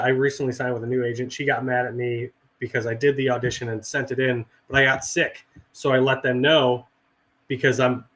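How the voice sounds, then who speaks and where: Man, middle-aged, raspy, hoarse from a cold, rambling casual talk, occasional swearing. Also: mid-range voice, a bit bright. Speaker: veteran guest and actor. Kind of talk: casual chat.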